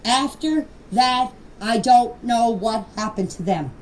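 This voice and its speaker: An older woman with a high voice gives a blunt, emphatic personal account. She talks slowly and deliberately, as in an unscripted voice message. The amateur clip is noisy and picked up from a distance. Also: a little mellow. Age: 60s or older. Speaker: grandmother giving her personal account.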